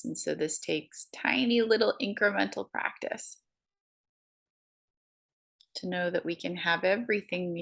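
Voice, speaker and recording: A woman guiding a breathing meditation, counting slowly in a soft, soothing way. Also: low-pitched, gravelly. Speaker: meditation guide.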